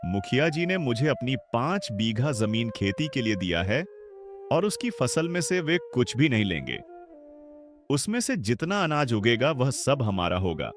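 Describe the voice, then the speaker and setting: A man's voice: mid-range voice, very animated, measured pace. A man voicing a humble, hardworking poor farmer in a cartoon, talking in a respectful, earnest way. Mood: happy. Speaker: voice actor. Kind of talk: cartoon dialogue acting. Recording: background music under voice.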